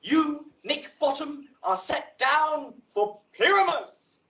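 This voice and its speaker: An actor playing an officious organizer of amateur players, deliberate and emphatic, in a Shakespeare audio drama. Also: male voice, very high-pitched, expressive, pushed and bright, full character voice. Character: male carpenter directing an amateur play, officious organizer. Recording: dull lo-fi audio drama playback, distant.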